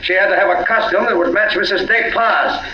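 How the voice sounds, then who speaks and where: A man's voice: sits high, steady tone, fairly quick, highs cut off, close to an everyday voice. A man playing a sly police captain who questions in a folksy, needling, falsely casual way, in 1940s radio mystery style. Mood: pressing, insinuating. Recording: echoey, hissy old radio recording.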